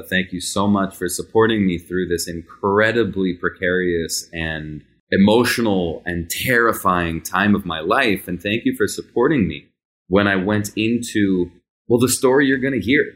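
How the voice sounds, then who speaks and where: A man's voice: sits low, a bit bright. A man in his thirties whose first language is Hebrew, hosting a podcast and telling a personal story in a candid, conversational way. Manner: candid, conversational, tempo shifts between rushed and drawn out. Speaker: podcast host.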